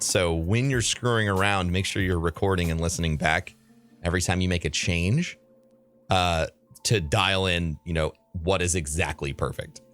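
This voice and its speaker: Male host, low bassy voice, loose profane chat, soft music bed. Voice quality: mid bassy voice. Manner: loose, profane, thinks aloud with fillers. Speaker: podcast host. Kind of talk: casual advice podcast chat.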